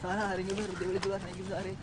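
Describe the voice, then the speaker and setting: A man's voice: sits high, completely flat delivery, very fast, close to an everyday voice. A man playing a nervous drug suspect in a film, pleading and evasive as he protests his innocence to police. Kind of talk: film drama dialogue. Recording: noisy outdoor street with traffic.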